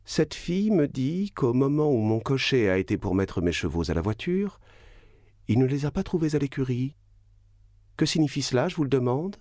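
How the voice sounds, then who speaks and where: A man voicing an indignant, haughty baroness in a read-aloud classic novel, speaking in a sharp, reproachful way. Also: lowish voice, sing-song, unhurried, no room sound, clean studio sound, pitched above the performer's own voice, exaggerated voice. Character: haughty indignant baroness, middle-aged woman.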